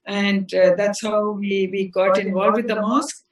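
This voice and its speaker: A woman's voice: medium pitch, flat delivery. Older woman recalling, from a distance. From South India. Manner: unhurried, restarts phrases often. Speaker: interview guest, community leader. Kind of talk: reflective personal storytelling. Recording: roomy sound from a distant mic.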